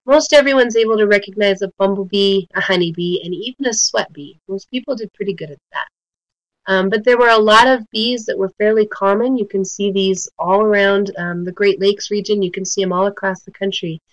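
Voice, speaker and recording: A woman's voice: medium pitch, brisk, dry recording, muffled recording. A woman walks listeners through a webinar lecture in an easygoing, friendly way, with plenty of ums. She is just getting over a cold. The recording is dry, with no room sound. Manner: friendly, informal explaining with frequent ums. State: recovering from a cold. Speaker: guest speaker, bee biologist. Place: home in New Mexico.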